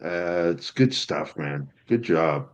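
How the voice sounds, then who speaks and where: A man on a livestream panel chatting casually, blunt and quick to swear and laugh. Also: sits low, expressive. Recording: dull lo-fi audio.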